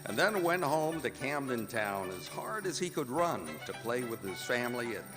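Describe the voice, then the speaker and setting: A man narrating a Christmas radio drama with measured, dramatic storytelling. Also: mid-range voice, very animated, close to an everyday voice. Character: omniscient storyteller of a Victorian Christmas tale. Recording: reverberant hall with music.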